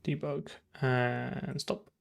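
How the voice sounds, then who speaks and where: A man's voice: mid-range voice, little pitch movement, very slow, creaky. A man thinking aloud in casual live coding commentary, muttering to himself and trailing off mid-thought. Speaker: programmer livestreaming game development.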